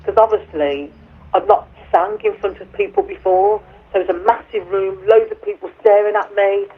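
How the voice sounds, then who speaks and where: A woman singer with a deep voice, chatting on the phone in an interview, a bit hesitant and self-deprecating, with plenty of fillers. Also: normal speed, husky. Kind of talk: casual chat over the phone. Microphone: telephone. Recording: tinny phone line.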